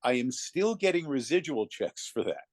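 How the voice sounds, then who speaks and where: A man's voice: mid-range voice, very animated, moderate pace, no echo, clean studio sound. A middle-aged or older man, a voice actor, telling anecdotes casually as an interview guest.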